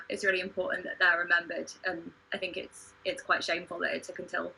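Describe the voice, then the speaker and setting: A woman hosting a livestream discussion in an earnest, measured way. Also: lowish voice, creaky, bright, forceful tone, slightly rough, rough recording. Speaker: podcast host, theatre company member.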